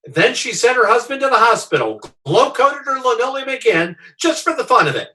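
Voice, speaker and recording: A man playing a cheerful, gossipy pitchman who spins tall tales in a classic radio comedy. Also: sing-song, fairly quick, bright, forceful tone, heavily acted. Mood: gleeful storytelling.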